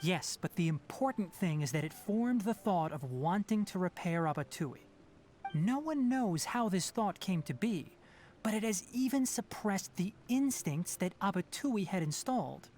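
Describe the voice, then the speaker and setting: A man's voice: sits high, lively intonation, unhurried, darkish tone. Young male forest ranger, scholarly and composed, precise matter-of-fact delivery, game dialogue over faint ambient noise. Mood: thoughtful, explaining. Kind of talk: video game voice acting.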